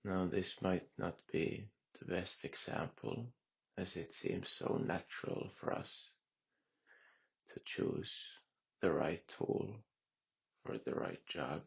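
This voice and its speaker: A man guiding a meditation in a calm, unhurried way with long pauses. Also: deep voice, creaky, husky, muffled recording. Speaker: meditation guide. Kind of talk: guided meditation.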